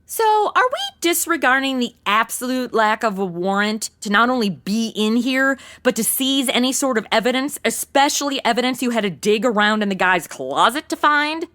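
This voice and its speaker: A brash, irreverent young sidekick in a comic audio play, played by a woman. Her voice is sharp and fairly high, with sarcastic, very animated delivery. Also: moderate pace, hardly any pauses. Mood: snarky. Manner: sarcastic, chatty. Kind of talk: comedic audio drama acting.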